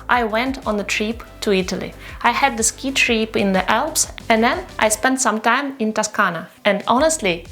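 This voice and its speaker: A woman's voice: on the high side, a bit bright. A woman in her thirties, for whom English is not her first language, talking candidly in a casual personal vlog and poking fun at herself. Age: 30s. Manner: candid and self-deprecating. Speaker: vlogger.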